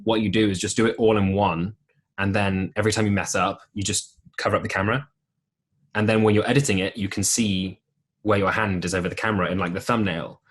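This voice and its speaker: A young man with a deep voice telling stories in a casual podcast interview, with plenty of ums and restarts. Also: fairly quick. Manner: relaxed storytelling with many ums and restarts. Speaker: podcast guest, musical theatre performer.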